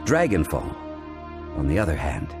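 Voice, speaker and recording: A learned, grave gentleman from a fantasy video game. His voice is very low, and he speaks in measured, formal, scholarly phrases. Music is clearly heard behind him. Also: wildly swooping pitch, exaggerated voice. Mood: grave, explanatory. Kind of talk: video game character dialogue.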